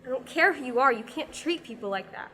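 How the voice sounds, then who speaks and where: A woman's voice: high-pitched, lively intonation, fast, a bit bright. A teenage student actor playing an outspoken young adventurer woman in a radio play, blunt and indignant. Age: teens. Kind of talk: amateur radio play acting.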